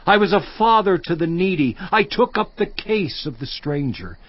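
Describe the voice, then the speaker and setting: An actor playing a suffering, once-honored biblical patriarch in a dramatized scripture monologue, theatrical and declamatory with long pauses. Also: male voice, sits high, sing-song, no room sound, muffled recording. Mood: proud, wistful remembrance. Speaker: actor in a dramatized audio Bible.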